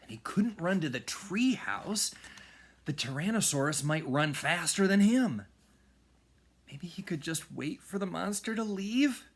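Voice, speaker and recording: A man reading a children's storybook aloud in a dramatic manner, often hushed for suspense. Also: medium pitch. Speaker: music teacher reading aloud.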